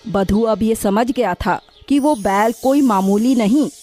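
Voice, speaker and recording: High-pitched woman narrating a children's story. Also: a little slow, mellow. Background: background music.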